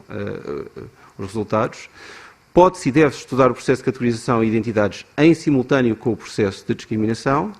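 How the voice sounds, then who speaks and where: A man's voice: medium pitch, a little mellow. Portuguese man making an academic argument. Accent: European Portuguese. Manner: argumentative, measured, some hesitations. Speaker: conference speaker. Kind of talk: academic conference talk.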